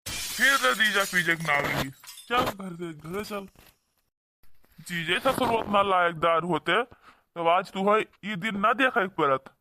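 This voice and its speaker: Man playing an indignant village brother, high and piercing. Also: expressive, slow pace, sharp tone, heavily acted. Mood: indignant, urging. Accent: Awadhi. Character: protective, indignant younger brother from a poor village. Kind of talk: cartoon dialogue.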